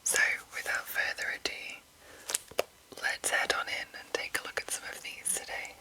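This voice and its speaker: A woman whispering gently in an unhurried ASMR style, with a breathy, unvoiced delivery. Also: low-quality recording. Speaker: host of a whispered fantasy reading series. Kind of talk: whispered ASMR commentary and read-aloud.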